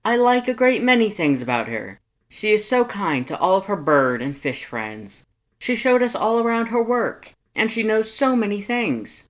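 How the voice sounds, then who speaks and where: A reader voicing an exuberant, kind-hearted male skeleton in a fan fiction story, loud and emphatic with formal wording. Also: big pitch swings, moderate pace, natural voice. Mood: earnest, enthusiastic. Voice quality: loud, booming. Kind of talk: animated fan fiction character reading.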